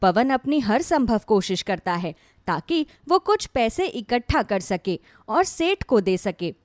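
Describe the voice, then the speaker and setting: A woman's voice: on the high side, big pitch swings, measured pace, no room sound. A woman narrating a scripted dramatic story as a storyteller.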